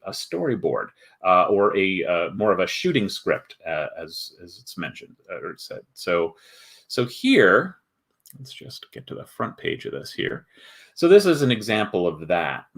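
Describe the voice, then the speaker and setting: A man hosting a casual livestream walkthrough, explaining things in a halting, thoughtful way. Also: low-pitched, a bit bright. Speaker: host, board game video maker.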